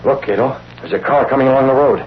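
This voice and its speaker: A man's voice: medium pitch, fast talker, soft background music, lightly acted. A man playing a decisive, commanding vigilante leader in old-time radio drama style. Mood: calculating. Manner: commanding, clipped, urgent. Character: decisive masked vigilante leader, planning calmly. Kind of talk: 1930s-40s radio acting. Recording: noisy, muffled old radio recording.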